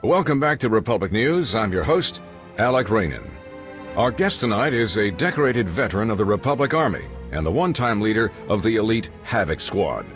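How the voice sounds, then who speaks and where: A sci-fi game news anchor. He has a low voice with animated intonation and a formal, smooth presenter's delivery over music. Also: fairly quick. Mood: formal, polished. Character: polished male news host of a space republic. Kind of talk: broadcast interview acting in a video game.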